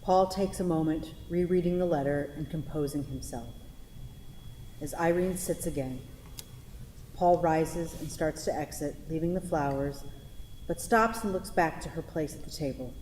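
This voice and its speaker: Woman, scripted narration, very low mellow voice, stage directions, reverberant hall. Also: moderate pace. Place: theatre hall. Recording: echoey hall with faint noise.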